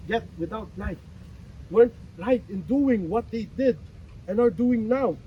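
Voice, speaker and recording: Man acting anguished high-voiced philosophizing stage character. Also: lo-fi sound, a little hiss or hum. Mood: pleading, accusing. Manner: declamatory, deliberate with dramatic pauses. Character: anguished, philosophizing male character pleading with a gentleman. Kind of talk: staged dramatic reading of a play.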